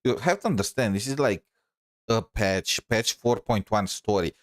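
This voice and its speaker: Low-voiced male game streamer, rambling. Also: expressive, moderate pace, dry recording, studio quality. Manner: rambling, hesitant, informal. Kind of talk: casual live gameplay commentary.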